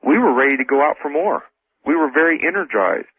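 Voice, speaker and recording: Husky man on a tinny phone line. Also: fairly high pitch, very animated, a little fast. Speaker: interview guest on talk radio. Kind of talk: casual explanatory interview talk. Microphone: telephone.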